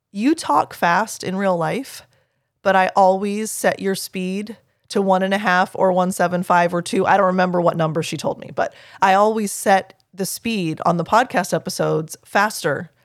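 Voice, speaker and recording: A woman with a low speaking voice, hosting a teaching podcast and answering a listener's email in an earnest, explanatory way. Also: moderate pace, no room sound, studio quality. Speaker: podcast host.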